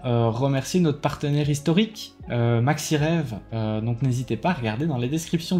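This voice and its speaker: A Belgian man talks casually to his viewers in a mellow, warm voice, pitched in the middle for a man. He sounds tired and rambles, with many hesitations and restarts, while he heaps enthusiastic thanks on people. Faint music plays behind him. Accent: Belgian French. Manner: rambling, full of fillers and restarts, enthusiastic praise. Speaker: card game YouTuber. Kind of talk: casual spoken debrief to viewers.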